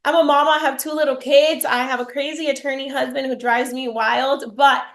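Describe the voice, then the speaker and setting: A woman's voice: high voice, steady tone, brisk. A woman from Miami, born in Cuba, presenting her business in a bubbly, gushing way. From Cuba, raised in Miami. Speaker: network marketing presenter. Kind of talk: promotional live presentation.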